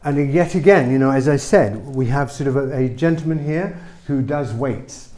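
A middle-aged man explains a patient's treatment with lively, emphatic energy. His voice sits at an ordinary pitch for a man. He is recorded on a camera mic in a small clinic room. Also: normal speed. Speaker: chiropractor.

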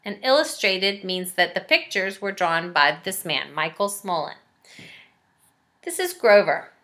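A middle-aged woman reading a picture book aloud to young children, pausing to explain and ask questions. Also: fairly low pitch, very animated, fairly bright tone. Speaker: elementary school staff reading aloud. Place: small living room, indoors.

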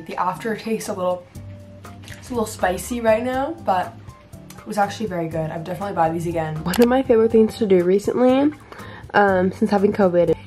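A stuffy-nosed young woman in her late teens talks straight into a camera held at arm's length in a sunny room at home. Her chat is casual and upbeat, packed with "like", and her voice sits at a middle pitch for a woman. Faint music plays underneath. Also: darkish tone. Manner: chatty, upbeat, lots of "like". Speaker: vlogger. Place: sunroom at home, indoors.

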